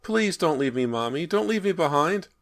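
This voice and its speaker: Man putting on a scared little boy, high pitched, pleading, whimpering, loud. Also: lively intonation, normal speed. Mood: pleading, frightened. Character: frightened little boy trapped in wall.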